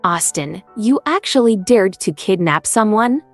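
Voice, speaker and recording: A man putting on a furious shrill wife. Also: expressive, a little slow, soft background music, heavily acted. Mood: angry, suspicious. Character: furious jealous wife, sharp and commanding. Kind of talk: animated story narration.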